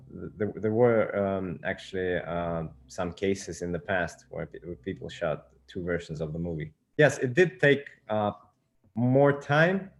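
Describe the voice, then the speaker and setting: Film producer, male, low voice, halting delivery. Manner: hesitant, many fillers and restarts. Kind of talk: casual interview answers.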